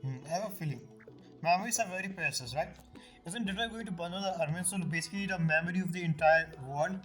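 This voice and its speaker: Woman game streamer, low voice, casual offhand reactions, game music behind. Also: fast talker, fairly bright tone. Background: game audio and music.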